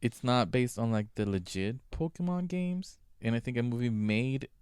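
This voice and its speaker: A man's voice: medium pitch, lively intonation, normal speed, darkish tone, clean tone, no echo, clean studio sound. A man on a podcast arguing a point in blunt, contrarian, casual chat with swearing. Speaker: podcast co-host.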